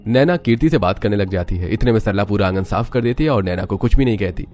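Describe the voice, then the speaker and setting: A man narrates a scripted family story. His voice is a bit low and the delivery is fast, with light music underneath. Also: expressive, a little mellow.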